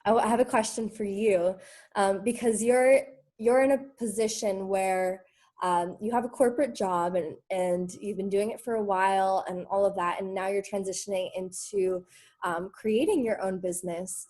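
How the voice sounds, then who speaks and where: A woman's voice: medium pitch, fairly even intonation, moderate pace, a little mellow. Enthusiastic woman coach on a video call. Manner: enthusiastic, effusive. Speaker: coach hosting a group call. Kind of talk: casual group coaching call.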